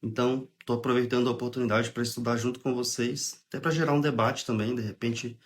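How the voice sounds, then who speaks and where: Brief: young Brazilian man with a head cold, mid-pitched, casual livestream host who reads aloud and explains. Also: fast. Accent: Brazilian Portuguese. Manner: relaxed, chatty, interrupts reading to explain. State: has a cold, clearing throat. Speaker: host of a spiritist study livestream. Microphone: phone or webcam on a livestream.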